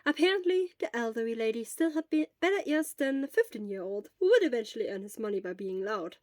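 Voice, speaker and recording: A woman's voice: fairly high pitch, sing-song, quick pace, darkish tone, no echo, clean studio sound. A woman reading scripted story narration as an audiobook narrator.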